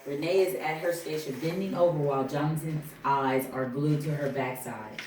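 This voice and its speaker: Screenplay narration, halting read, distant mic, echoey room, faint background noise. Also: mid-range voice, a little mellow. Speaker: table read participant reading stage directions. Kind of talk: reading screenplay directions aloud. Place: indoor room at a table reading.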